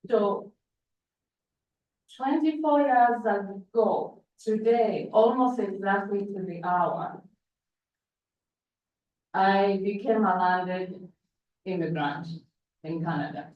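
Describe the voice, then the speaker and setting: A woman's voice: mid-range voice, slow pace, pauses often, a little hiss or hum. A woman from Uganda in her forties, whose first language is Luganda, tells a personal story at a conference and often restarts her phrases. She is picked up from a distance in a large echoing hall, and the sound is dull and muffled. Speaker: panel speaker. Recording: echoey hall from far off, dull.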